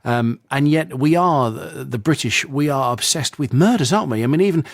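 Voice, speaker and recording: Male radio host, chatty and eager, mid pitch, dry studio sound. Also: expressive, moderate pace, vocal fry, dry recording. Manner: chatty, enthusiastic, rambling questions. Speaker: radio presenter. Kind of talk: radio interview.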